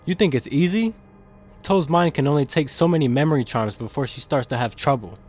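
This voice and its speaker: A man's voice: fairly high pitch, fairly quick, darkish tone, soft background music, lightly acted. A man playing a guarded, conscience-stricken demon in an audio drama, earnest and defensive in manner. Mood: defensive, anguished. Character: guarded, conscience-stricken demon insider smuggling secrets to captive.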